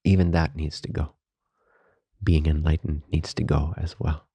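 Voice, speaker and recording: A man leading a guided meditation as a teacher, speaking softly, slowly and calmly in reverent phrases with long pauses. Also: extremely low pitch, gravelly, slightly rough, no room sound. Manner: calm, unhurried, reverent spiritual phrasing.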